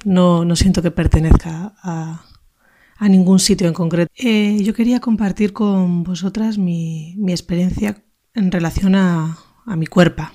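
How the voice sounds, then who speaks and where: A woman in her thirties from Spain's north, with the accent to match. Her voice sits a little low and sounds dark and relaxed. She reflects informally on her own life, feeling her way hesitantly through each thought. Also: measured pace. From Basque Country, Spain. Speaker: contributor to a feminist radio show. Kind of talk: informal spoken personal reflection.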